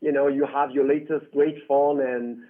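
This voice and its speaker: A man's voice: medium pitch, level tone, moderate pace. A man from France with a French accent, a tech founder chatting through an interview with lots of "you know". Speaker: tech company founder, guest. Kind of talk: casual interview. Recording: tinny phone-like line.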